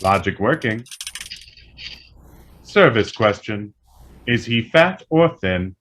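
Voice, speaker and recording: A man's voice: on the low side, lively intonation, slow, a bit bright, a little hiss or hum. A man voicing an impassive, literal-minded computer in a radio drama, formal, clipped and announcer-like. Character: impassive all-knowing talking computer, helpful, literal-minded.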